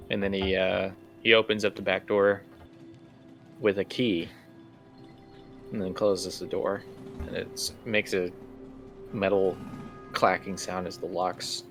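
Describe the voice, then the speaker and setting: A man narrating a tabletop role-playing game as game master, in a casual, halting, conversational way. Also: low voice, little pitch movement, fairly bright tone, soft background music.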